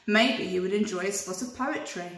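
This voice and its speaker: A British woman reading a storybook to young children like a teacher, pausing to explain, in an echoey room. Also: mid-range voice, fairly bright tone. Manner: explains words to young listeners. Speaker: primary school teacher reading aloud.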